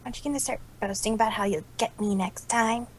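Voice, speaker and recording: Brash young female pegasus played by a woman, fan-made cartoon story, slangy and challenging, fairly high register. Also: fairly even intonation, fast talker, slight background noise, natural voice. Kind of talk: amateur cartoon fan-fiction acting.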